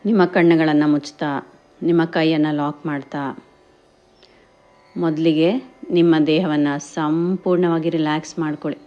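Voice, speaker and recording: A woman leads a guided meditation in a deep register, taking her time over every phrase. She gently encourages her listeners and slips English words into her instructions. The recording sounds a little dull. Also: level tone, a little slow, pauses often.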